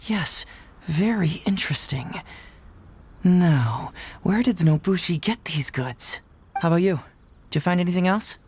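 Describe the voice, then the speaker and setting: A man's voice: high voice, expressive, darkish tone. Composed young male sleuth, analytical, articulate, anime game delivery over faint background noise. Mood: thoughtful. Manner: measured, deductive, articulate. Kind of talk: anime video game voice acting.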